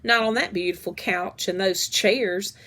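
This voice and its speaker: A woman's voice: mid-range voice, measured pace, sharp tone. A woman voicing a practical, kindly mother in a children's book, mildly reproving and wry. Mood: amused. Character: practical, kindly mother of two, a dog rescuer.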